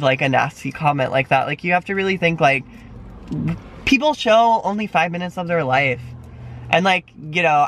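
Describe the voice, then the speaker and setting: A man's voice: a little high, a bit bright. A young man chatting casually with a friend in a parked car, full of fillers and supportive. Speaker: friend and co-host. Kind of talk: casual chat.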